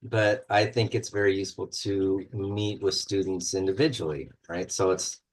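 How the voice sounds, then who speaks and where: Deep-voiced man sharing teaching tips. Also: fairly even intonation, moderate pace, slight background noise. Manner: conversational, thoughtful, many fillers. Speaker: university instructor. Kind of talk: panel discussion.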